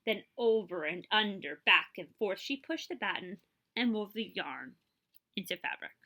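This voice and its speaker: Bright-voiced woman reading to children. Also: medium pitch. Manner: expressive storytelling, pausing to check understanding with listeners. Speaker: librarian reading a picture book aloud. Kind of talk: children's read-aloud with explanatory asides and questions.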